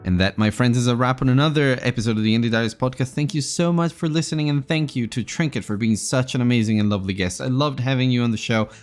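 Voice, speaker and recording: A man hosting a podcast in a casual interview style, speaking haltingly and often repeating words as he goes. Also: medium pitch, soft background music. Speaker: podcast host.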